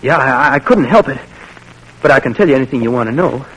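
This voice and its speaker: A young man playing a polite, easygoing army private in an old radio drama, with a folksy manner and husky voice. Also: mid-range voice, expressive, fast, a bit bright, poor audio. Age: young adult.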